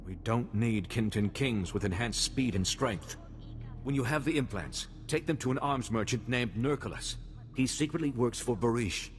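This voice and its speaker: An actor playing an earnest, worried ambassador in a video game, speaking in a formal, diplomatic way. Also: male voice, mid-range voice, expressive, moderate pace, in a large hall, soft background music, natural voice. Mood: grave, urgent. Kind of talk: video game cutscene acting.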